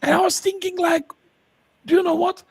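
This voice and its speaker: Woman, Flemish accent, high voice, enthusiastic explainer, conversational interview, very dry recording. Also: fairly even intonation, normal speed, vocal fry, dry recording. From Belgium. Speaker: podcast guest, tech entrepreneur. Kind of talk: conversational pitch of own projects.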